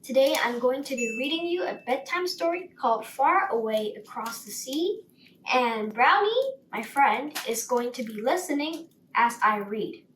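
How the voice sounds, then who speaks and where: A woman with a fairly high voice reads a bedtime story at a very slow pace. She sounds some way from the microphone in a roomy space, with faint noise. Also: lively intonation, a bit bright, distant mic.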